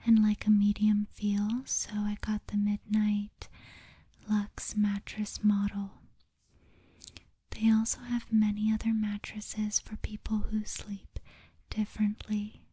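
A woman speaking in a breathy whisper as an ASMR host, in a slow, soothing, deliberate manner. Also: medium pitch, dark tone, no room sound. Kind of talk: whispered soft-spoken ASMR, guided sleep meditation.

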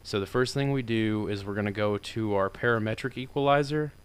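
A low-voiced man with a smooth, clean tone walks through a software tutorial. He talks informally and rambles a bit, using lots of fillers. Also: a little fast. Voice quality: deeper voice. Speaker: tutorial maker. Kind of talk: casual screen-recorded software tutorial.

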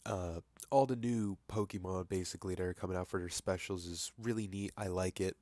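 A man's voice: deep voice, fairly even intonation, a little fast, darkish tone, no room sound. A young man with a stuffy nose from a cold, rambling in a casual vlog with lots of fillers and restarts as he talks over his screen. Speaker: vlogger.

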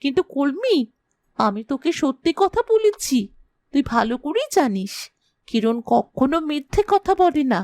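Woman voicing an animated, high-voiced innocent girl. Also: moderate pace, no room sound, clean studio sound. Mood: affectionate. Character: cheerful, innocent young girl, affectionate friend. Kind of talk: Bengali fairy-tale cartoon dubbing.

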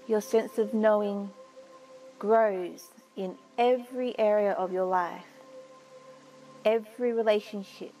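A woman narrating a guided meditation in a soothing, measured way with long pauses. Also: medium pitch, dark tone, poor audio. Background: music.

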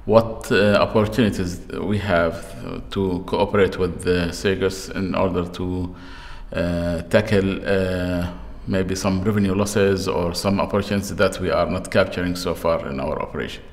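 Deep-voiced man, halting, in reverberant hall. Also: fairly even intonation. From Jordan. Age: middle-aged. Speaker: telecom executive being interviewed. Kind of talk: spontaneous interview answer.

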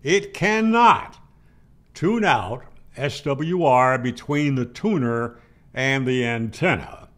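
A man's voice: medium pitch, a bit bright. An older man giving an explanatory tutorial, speaking plainly and emphatically and answering his own questions. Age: older, 60s or 70s. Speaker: amateur radio instructor, host. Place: home room, indoors. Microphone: studio microphone on boom arm, close.

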